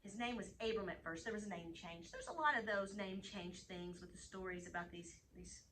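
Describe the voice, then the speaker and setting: A woman hosting a children's Bible story time, animated as she reads and chats directly to young listeners. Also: mid-range voice, brisk. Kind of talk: reading bedtime Bible stories to children, chatting with a puppet. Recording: distant mic, faint background noise.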